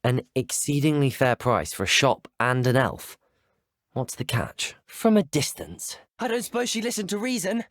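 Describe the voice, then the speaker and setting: A man's voice: medium pitch, sing-song, normal speed, no room sound, studio quality, lightly acted. A man playing a polite, inquisitive teenage wizard student in a video game, with a British accent and courteous, articulate delivery. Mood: curious.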